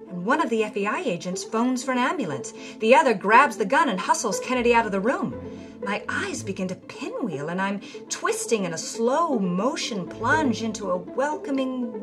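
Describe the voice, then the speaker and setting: A woman giving a theatrical, scripted narration of a memoir, dropping to a breathy hush in quiet moments. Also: on the high side. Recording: music bed under the voice.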